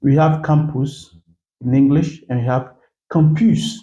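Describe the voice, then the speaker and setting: A young man with a Ghanaian accent in a playful casual chat, protesting loudly and laughing often. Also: medium pitch, fairly even intonation, measured pace. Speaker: co-host. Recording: roomy echo.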